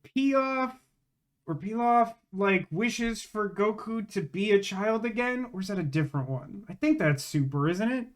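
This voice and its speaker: Man, high-pitched voice, livestream gamer, casual chat, rambling, thinking out loud. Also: lively intonation, a little slow.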